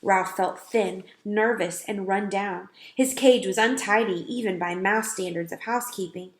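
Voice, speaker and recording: A woman reading a children's storybook aloud as narrator, with expressive, emphatic delivery. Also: medium pitch, moderate pace. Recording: roomy, echoing sound.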